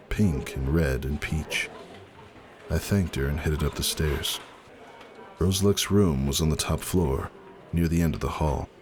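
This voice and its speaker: A man narrating a dramatic first-person story in a measured, somber manner. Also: rumbling low, noisy background.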